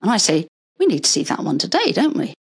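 An older woman, a retired paediatrician, talking through her working life in a measured, explanatory way, with stories along the way. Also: lowish voice, wildly swooping pitch, husky. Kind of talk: conversational interview.

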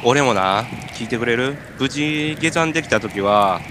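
A man's voice: mid-range voice, expressive, unhurried, natural voice. A man with a Kansai accent playing a hungry, freezing, silly stranded climber in an amateur comic radio drama, with absurd earnestness. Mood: dreamy. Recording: noisy amateur recording.

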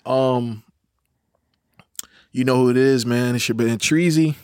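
A man's voice: medium pitch, measured pace, darkish tone, clean tone, no room sound, clean studio sound. A man hosting a podcast in a loose, casual chat style, rambling, swearing freely and laughing often. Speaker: podcast host.

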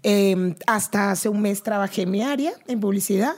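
A woman's voice: medium pitch, moderate pace, darkish tone. A Venezuelan woman co-hosting a vlog in casual, spontaneous chat, bantering and easily amused. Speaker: vlog co-host, publicist and actress.

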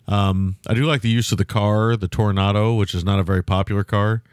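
A man co-hosting a film podcast, talking in a loose, rambling way with lots of fillers and restarts, and a loud voice. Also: fairly low pitch, steady tone, brisk, clear, resonant tone, dry recording, clean studio sound. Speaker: podcast co-host. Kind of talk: casual film-review chat.

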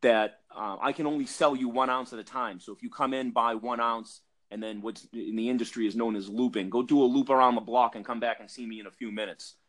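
A man's voice: mid-range voice, fairly even intonation, fairly quick, few pauses, a bit bright. A man from Massachusetts with a Boston accent, telling an industry story in a casual podcast chat with lots of fillers and restarts. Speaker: cannabis industry guest.

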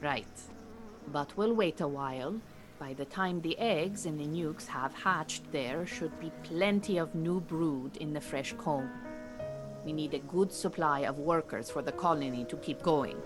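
A woman playing a calm, capable Iranian beekeeping teacher in a naturalistic audio drama, giving measured, reassuring instruction. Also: sits low, over music. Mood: calm, instructing. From Iran.

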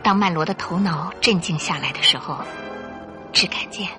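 A woman narrating a scripted radio drama in an expressive, suspenseful storytelling style. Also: on the low side, moderate pace, music in the background.

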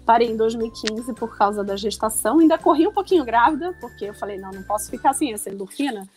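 A woman's voice: on the high side, animated, fast talker, darkish tone, soft background music. Chatty Carioca woman in her forties. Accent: Carioca Brazilian Portuguese. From Rio de Janeiro, Brazil. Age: 40s. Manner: chatty, laughing easily, many restarts. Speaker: podcast guest. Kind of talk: casual podcast conversation.

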